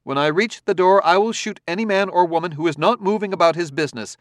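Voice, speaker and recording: A cool humanoid robot voiced by a man gives orders in crisp, measured sentences, neither harsh nor angry, with steady authority. The voice sits a little higher and louder than the reader's own, and the sound is dry and close. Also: no room sound, lightly acted. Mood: cool command. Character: calm, commanding humanoid male robot.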